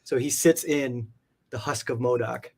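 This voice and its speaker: Rambling, casual man, mid-pitched voice. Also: unhurried, creaky, darkish tone, husky. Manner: rambling, peppers talk with "like". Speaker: interview guest, comic art collector. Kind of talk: casual enthusiastic chat about comic art.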